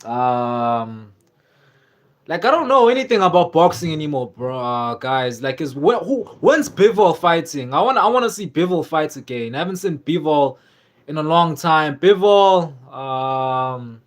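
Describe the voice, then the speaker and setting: A man's voice: on the high side, very animated, measured pace. A young man from Africa, hosting a casual live stream and chatting loosely with viewers, with lots of fillers and repeated words. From South Africa. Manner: rambling, many fillers and repeats, reads chat aloud. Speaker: livestream host.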